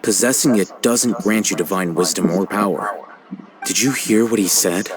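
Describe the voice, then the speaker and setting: An actor playing a composed, analytical young scholar in a video game, speaking in a measured, detached and formal way. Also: male voice, fairly low pitch, a crowd in the background, natural voice. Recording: game music behind. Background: game music.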